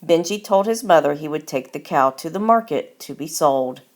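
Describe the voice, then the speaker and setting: A woman reading a children's story aloud as its author, in deliberate, expressive scripted narration. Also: deep voice.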